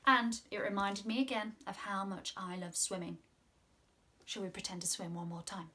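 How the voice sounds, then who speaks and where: A woman in her twenties reading a story to children in an expressive, encouraging way. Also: mid-range voice, normal speed. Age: 20s. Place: small room at home, indoors.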